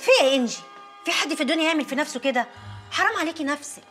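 A woman's voice: high-pitched, racing, sharp tone, soft background music. A woman acting a concerned, pitying colleague in a TV comedy-drama, with an Egyptian accent. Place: small office.

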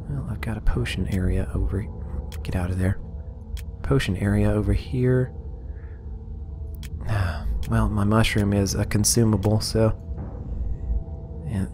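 A man casually commenting on his game as he plays, rambling and amused, a little hoarse. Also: fairly low pitch, a little mellow, a little hiss or hum. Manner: rambling, self-amused, sorting inventory aloud. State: slightly hoarse. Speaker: let's play host.

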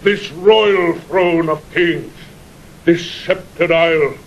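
A man's voice: very slow, muffled recording. An actor playing a dying old nobleman, solemn and prophetic, declaiming weighty Shakespearean verse in an audio drama. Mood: fervent. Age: older. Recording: vintage 1960 recording with faint rumble.

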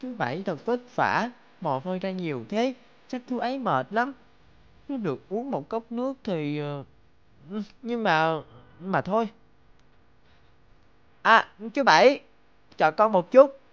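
A woman voicing a kind, naive and polite little village boy in an animated fairy tale. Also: mid-range voice, a little slow, long pauses, highs cut off. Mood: earnest, wondering. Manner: naive, polite, thinking aloud to himself. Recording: background music.

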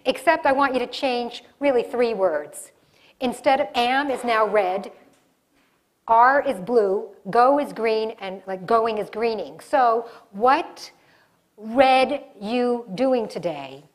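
A woman's voice: a little high, takes it slowly. A middle-aged woman giving a live workshop in a conference room, talking with her hands and often restarting her sentences. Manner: animated, gestures a lot, restarts sentences. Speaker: diversity workshop presenter. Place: conference room in front of a projection screen.

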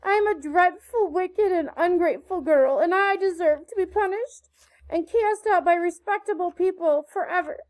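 A woman's voice: very high-pitched, normal speed, a little mellow, voice pushed up. A woman voicing a dramatic, imaginative orphan girl who pleads effusively in a storybook reading. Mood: theatrical pleading.

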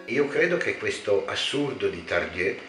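An older man of around seventy, a theatre director explaining his work in a measured, erudite way with pauses. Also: fairly low pitch, big pitch swings, loud music behind the voice. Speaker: theatre director being interviewed. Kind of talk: reflective explanatory talk to camera. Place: small living room with shelves, indoors. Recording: roomy echo, clear background noise.

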